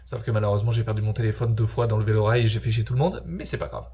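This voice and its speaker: A man's voice: fairly low pitch, flat delivery, racing, mellow, slightly rough. A young man narrating his own travel vlog casually, joking around with slang and poking fun at himself. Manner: joking, slangy, self-mocking. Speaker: travel vlogger.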